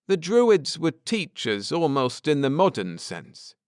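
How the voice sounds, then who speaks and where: A man voicing a grim, intense space-travelling husband in an audiobook, lecturing gravely and earnestly with drama. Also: a little high, huge pitch swings, takes it slowly, darkish tone, no room sound, clean studio sound, pitched above the performer's own voice, heavily acted. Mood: grave, explaining.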